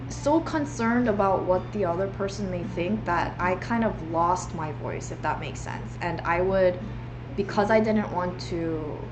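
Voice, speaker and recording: A woman's voice: on the low side, a little slow, background noise. A young woman livestreaming from home, talking earnestly and rambling with many restarts. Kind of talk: reflective livestream monologue. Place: home room.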